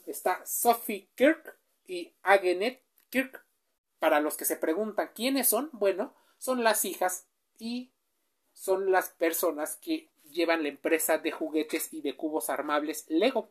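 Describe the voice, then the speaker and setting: Woman talking to you like a friend, kinda low voice, tosses questions at you, some ehs. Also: lively intonation, measured pace. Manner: chatty, asks the listener questions, with filler sounds. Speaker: presenter. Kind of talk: informal explainer speaking straight to the listener.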